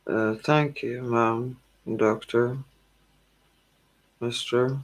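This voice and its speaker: A tired woman hosting a tarot livestream, chatting casually with her viewers in a slow, drowsy, calming way. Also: a bass voice, rough recording.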